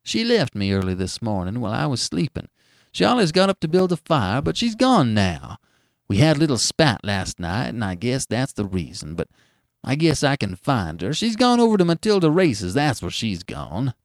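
A man's voice: medium pitch, sing-song, normal speed, no echo, studio quality. A man voicing a frail, confused old farmer with a folksy, insistent rural American way of talking. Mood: stubborn, indignant. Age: older. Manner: insistent, folksy, plain-spoken. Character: frail demented old farmer searching eagerly for his wife.

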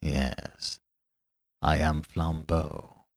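A bold master thief with a lowered, heavy voice that shifts between quiet menace and loud mocking bluster , as a male narrator plays him. The read is dry and close to the mic. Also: expressive, moderate pace, no echo. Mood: low menacing. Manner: low and menacing, turning boisterous and mocking. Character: great robber, a big bold master thief.

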